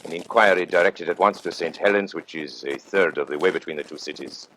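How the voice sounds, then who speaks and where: Man acting as grave storyteller, low-pitched, formal, measured radio mystery narration, faint noise. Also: steady tone, natural voice. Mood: grave, suspenseful.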